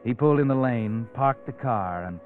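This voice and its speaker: A man's voice: mid-range voice, animated, normal speed, lightly acted. Vintage radio mystery host played by a man: sinister, insinuating storyteller, tinny old recording, music underneath. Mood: storytelling. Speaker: narrator. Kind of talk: 1940s radio mystery narration.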